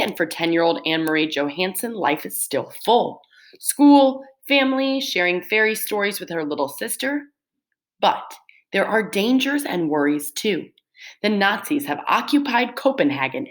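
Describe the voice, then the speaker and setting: A woman's voice: fairly low pitch, fairly bright tone. A woman speaking as a school teacher, reading summaries aloud and explaining them to pupils with stressed key words.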